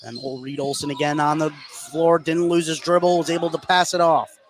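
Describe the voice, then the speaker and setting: A man with a slightly high voice calls a basketball game live on radio. He works as the play-by-play announcer, energetic as he follows the action. Some background noise from the game sits behind him. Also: a little fast.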